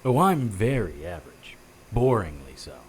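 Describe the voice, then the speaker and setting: An actor playing a tough, wisecracking private detective in an audio drama, with wry deadpan delivery and noir-style narration. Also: male voice, on the low side, moderate pace, slight background noise.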